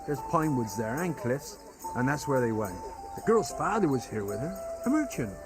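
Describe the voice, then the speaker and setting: An actor playing a grumbling but obliging medieval innkeeper in a video game, folksy and gossipy. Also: male voice, mid-range voice, sing-song, moderate pace, music in the background, natural voice. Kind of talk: naturalistic video game acting.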